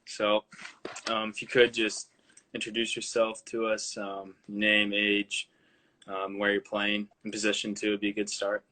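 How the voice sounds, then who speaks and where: Flat-toned bright male interviewer. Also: on the low side, a little slow. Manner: hesitant, many ums and likes. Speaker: interviewer, host. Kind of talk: casual interview questions. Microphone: video call.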